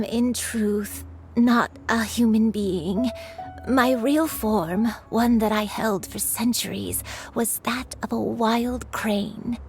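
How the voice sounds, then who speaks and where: A woman's voice: mid-range voice, a little mellow. An actress voicing an elderly grandmother who is secretly a crane spirit, speaking tenderly and with remorse. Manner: tender, sorrowful, confessional. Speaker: game character. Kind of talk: dubbed game dialogue.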